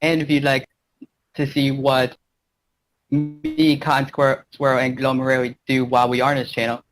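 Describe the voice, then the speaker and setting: A man's voice: medium pitch, level tone, moderate pace, music in the background, rough recording. A man hosting and narrating a fan fiction as an amateur reader, casual and occasionally stumbling over words. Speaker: channel host and story narrator.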